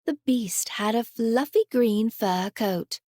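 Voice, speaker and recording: A woman reads a children's story with a fairly high voice and lots of melodic movement. She takes her time, and the recording is dry and close. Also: animated, takes it slowly, mellow, no room sound. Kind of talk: expressive scripted children's story narration.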